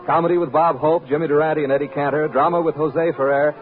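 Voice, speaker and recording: A man's voice: fairly high pitch, fairly even intonation, fast. A man announcing in a 1950s network radio style, on an old broadcast recording. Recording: tinny old radio broadcast, music behind. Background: music.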